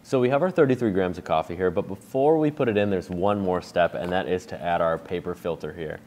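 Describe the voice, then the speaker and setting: A man in his thirties walks through a coffee brewing recipe in a relaxed, chatty how-to style, explaining each step as he goes. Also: low-pitched, a little fast. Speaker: coffee shop owner demonstrating a brew recipe. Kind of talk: casual how-to demonstration. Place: bright indoor room with a table.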